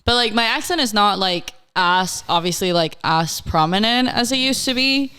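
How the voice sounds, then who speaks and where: A woman's voice: medium pitch, lively intonation, normal speed, bright, forceful tone, clear, resonant tone. A woman in her twenties, a Spanish speaker from Latin America with a light accent, chatting as a podcast co-host and filling her talk with "like". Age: 20s. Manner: chatty, lots of "like" fillers. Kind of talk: casual chat.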